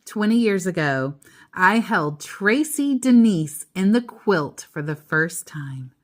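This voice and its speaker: Woman reading a storybook, very unhurried. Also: lowish voice, animated. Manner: deliberate, pausing between short phrases. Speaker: storyteller reading a children's book aloud to a child. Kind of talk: read-aloud storytelling with casual asides.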